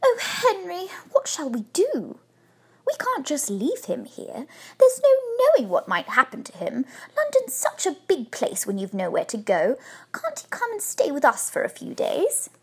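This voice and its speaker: A reader plays a kind-hearted married woman in a children's story. Her voice is high and very animated. She exclaims and appeals, gently but persistently persuasive. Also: normal speed, full character voice. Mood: pleading, firm.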